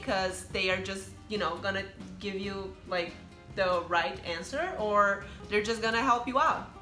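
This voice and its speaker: A woman's voice: medium pitch, bright, forceful tone. A young Brazilian woman with a Brazilian accent, chatting casually as a vlogger, explaining things with fillers. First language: Brazilian Portuguese. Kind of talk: casual chat. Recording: echoey room with faint music.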